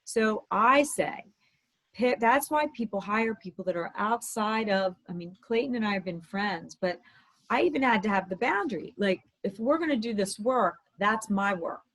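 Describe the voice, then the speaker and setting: Lady coach, bit hoarse from talking all day, upbeat advice in casual chat. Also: medium pitch, animated, moderate pace, a bit bright, rough recording. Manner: upbeat, advising. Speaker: dating coach and host. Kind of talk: coaching conversation.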